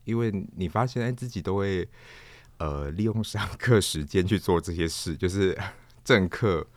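A man with a Taiwanese accent answering casually as an interview guest, halting and repeating words as he thinks. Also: sits low, no room sound. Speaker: interview guest, stage performer.

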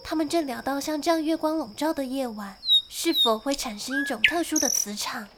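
A woman acts a gentle young noblewoman of a castle in a radio drama. Her voice is high and girlish, with careful storytelling diction. Faint noise sits behind her. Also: a little fast, close to an everyday voice. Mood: calm, storytelling. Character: young daughter of a castle lord, narrating her tale. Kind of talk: Mandarin radio drama acting.

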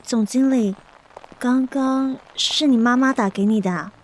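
A woman's voice: on the high side, measured pace, rough recording. A woman playing a flustered, apologetic young female office assistant in a modern comedy radio drama, hesitant and deferential. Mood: mild, polite.

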